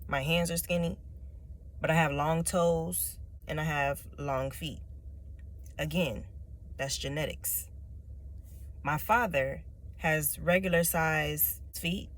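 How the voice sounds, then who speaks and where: A woman with a rich, low speaking voice, talking emphatically to camera and often repeating her points with a tag question. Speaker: vlogger. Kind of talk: direct-to-camera personal talk.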